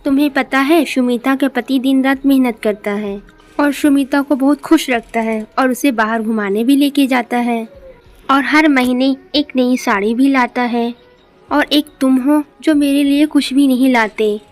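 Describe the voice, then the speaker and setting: A woman's voice: high-pitched, fairly even intonation, a little slow, faint music behind. Greedy, nagging village wife played by a woman. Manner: scolding, demanding.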